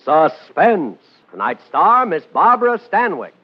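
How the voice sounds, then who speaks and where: A muffled vintage broadcast with faint music features a male announcer with a high, widely swooping voice. He delivers a theatrical, suspenseful fifties radio announcement. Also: very animated, a little slow. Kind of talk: dramatic 1950s radio announcing.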